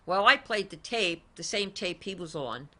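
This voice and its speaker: A woman's voice: fairly low pitch, animated, moderate pace, bright, forceful tone. A woman hosting a direct-to-camera talk show, telling stories emphatically and repeating her key points. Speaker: talk show host and credit advisor.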